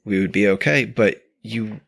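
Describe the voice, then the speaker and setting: A man from upstate New York speaks in a fairly low, gravelly voice. He talks in a halting, reflective way, restarting his sentences as he hosts a relaxed podcast chat. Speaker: podcast host. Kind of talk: casual podcast conversation.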